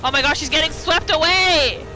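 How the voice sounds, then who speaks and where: A woman's voice: sits high, normal speed, bright, forceful tone, soft background music, low-quality recording. A woman narrating a roleplay game playthrough, reacting with exclamations and talking to the game's characters. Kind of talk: reactive let's-play commentary.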